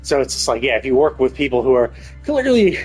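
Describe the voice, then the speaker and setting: A man livestreams a game with an informal, conversational delivery at a middling pitch. He rambles through his reactions as casual commentary, with music playing faintly behind his voice. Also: very animated, a little fast, darkish tone, breathy edge.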